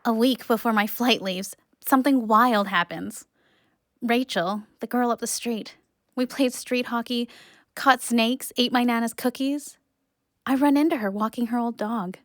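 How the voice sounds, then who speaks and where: A woman plays an earnest, nature-loving young narrating an audio drama. The voice is slightly high, with a wistful manner. It is recorded close and dry. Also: a little slow, no room sound, close to an everyday voice. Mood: confiding.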